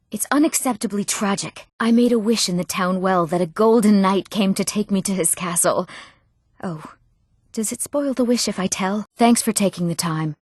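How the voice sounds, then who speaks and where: A woman's voice: mid-range voice, no room sound, studio quality, lightly acted. An actress playing an earnest, eager young woman in a fantasy video game. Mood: indignant, then wistful, eager. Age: young adult. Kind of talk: video game character dialogue.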